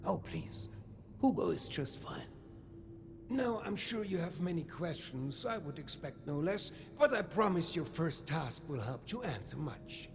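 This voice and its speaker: A man's voice: fairly high pitch, very animated, measured pace, a little hiss or hum. An actor playing a suave, pompous older German vault overseer and businessman in a video game, courtly and flamboyant in manner. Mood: grandiose, welcoming. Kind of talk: scripted video game dialogue.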